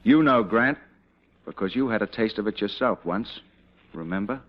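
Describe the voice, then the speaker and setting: An actor playing a confident cavalry commander and devoted husband, in mid-century dramatic style. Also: male voice, lowish voice, moderate pace, slight background noise, natural voice. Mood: fiery, insistent. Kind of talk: mid-20th-century American dramatic acting. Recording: dull old radio-era drama recording.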